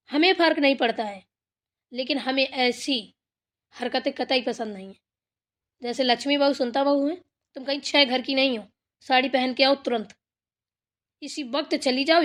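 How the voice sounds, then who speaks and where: A woman's voice: high voice, close to an everyday voice. A woman voicing a stern, bossy older village mother-in-law in a cartoon family drama, scolding and commanding bluntly. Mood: stern, threatening.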